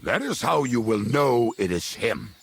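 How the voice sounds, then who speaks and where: A man playing a roguish, foul-mouthed medieval mercenary in a video game, with a blunt, wry way of telling things and a gravelly, husky voice. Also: mid-range voice, sing-song, measured pace, heavily acted. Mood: animated. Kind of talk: dramatic video game dialogue.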